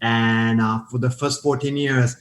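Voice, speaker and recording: A man's voice: medium pitch, steady tone, a little slow, soft background music. A man with a Sri Lankan accent tells his story as a podcast guest, his speech eager and full of restarts. His voice carries a roomy echo, as if he is on a call from an echoing room. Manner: eager, repeats words, starts and restarts. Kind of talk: storytelling interview answers.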